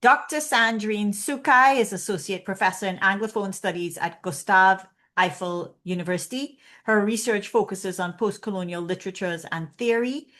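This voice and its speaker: A woman hosting an online academic seminar, reading a guest's bio in a measured way and handing over to the speaker. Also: mid-range voice, fairly bright tone. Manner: measured, reads bio lists. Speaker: seminar host. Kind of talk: formal introduction. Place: online webinar.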